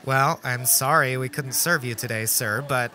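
An actor playing a relentlessly chirpy, pedantic cashier in a comedy radio play, rattling through scripted prompts. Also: male voice, medium pitch, normal speed, crowd noise, lightly acted. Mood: polite, passive-aggressive.

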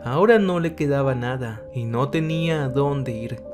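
A man reading a story aloud in an even, steady narrating voice. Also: mid-range voice, normal speed. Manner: even, steady reading that speaks every character's lines in the same voice. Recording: faint guitar music behind. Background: soft guitar music.

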